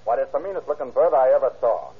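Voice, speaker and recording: An actor playing a slangy, rough-talking man in an old-time radio crime drama, heard on a tinny vintage recording. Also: medium pitch, expressive, fast. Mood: insistent, wary. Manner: slangy, folksy tough-guy speech.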